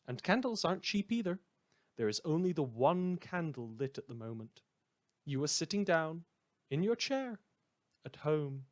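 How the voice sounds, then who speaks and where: A man playing a wry community radio host in a fan audio drama, delivering scripted narration in a measured, theatrical deadpan. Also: mid-range voice, natural voice. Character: wry male community radio host telling eerie tales.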